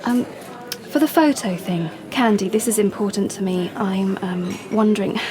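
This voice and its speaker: An actress playing an anxious, defensive young British woman in an audio drama, speaking in a breathy, hesitant way. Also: mid-range voice, normal speed, crowd noise, lightly acted. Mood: tentative, apologetic. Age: young adult. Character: anxious, defensive young pregnant woman, confiding yet pushy. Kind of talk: audio drama monologue and dialogue.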